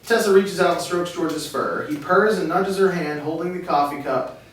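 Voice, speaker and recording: A man narrates stage directions from a script in a fairly high voice. He is distant from the microphone, in a very echoey room. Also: normal speed. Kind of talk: scripted narration at a table read. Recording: very echoey room, distant mic, faint hiss.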